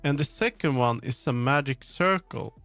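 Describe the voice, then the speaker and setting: Man rambling on a livestream, slightly creaky. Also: medium pitch, lively intonation, normal speed, fairly bright tone, soft background music. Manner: rambling, muttering to himself, jumping between thoughts. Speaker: streamer. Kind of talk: casual livestream chat.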